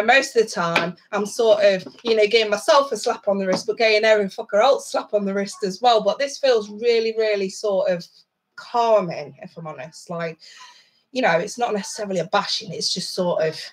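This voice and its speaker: A woman talks through a tarot spread in a loose, chatty way, her voice thick and nasal from a blocked nose. She is blunt and swears freely, breaking off into asides. Her pitch sits in an ordinary middle range for a woman. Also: moderate pace. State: stuffed-up nose. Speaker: tarot reader. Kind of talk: casual tarot card reading.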